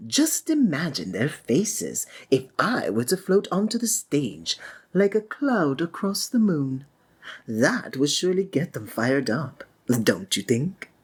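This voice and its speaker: Deep, husky, flamboyant sinister stage magician. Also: female voice, very animated, heavily acted. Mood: genial, courtly. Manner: flowery, theatrical, genteel, drawn-out phrasing. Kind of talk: ASMR roleplay, theatrical monologue to the listener.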